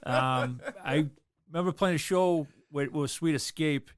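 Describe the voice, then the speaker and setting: A man's voice: on the high side, measured pace. A man, a drummer talking loosely in an interview, rambling with lots of fillers. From South Boston, USA. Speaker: drummer and guest. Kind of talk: casual interview chat.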